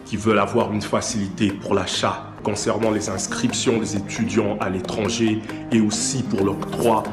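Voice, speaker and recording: Low-voiced male ad announcer over loud music. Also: little pitch movement, echoey room. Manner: slow, measured delivery. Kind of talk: radio-style advertisement.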